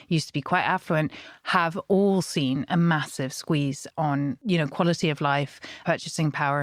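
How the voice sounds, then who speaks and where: A woman's voice: low voice, no echo, clean studio sound. A woman campaigner speaking as a guest in an informal political discussion, emphatic and repeating phrases for weight.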